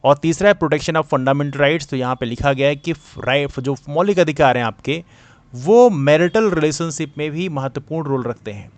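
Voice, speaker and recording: Slightly high-pitched man teaching. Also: normal speed, no echo. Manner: didactic, code-switches between Hindi and English. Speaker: exam coaching teacher and presenter. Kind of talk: explanatory lecture on current affairs.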